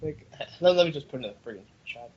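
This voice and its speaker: A male podcast co-host with a high voice that swings widely in pitch and has a raspy grain. He talks casually and jokingly with friends, swearing now and then. The audio is slightly muffled, like an online voice chat. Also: fast talker. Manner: jokey, animated, swears casually. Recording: dull lo-fi voice chat.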